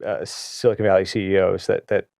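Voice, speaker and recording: A man hosting a podcast, asking casual interview questions haltingly and rephrasing as he goes. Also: deep voice, little pitch movement, creaky, a little mellow, no echo.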